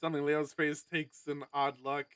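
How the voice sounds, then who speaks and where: Animated streamer with a dry, close sound. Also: mid-range voice, moderate pace, clean tone, no echo. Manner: animated, rambling, sweary. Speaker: streamer reading a visual novel aloud. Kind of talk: casual live game stream banter and narration.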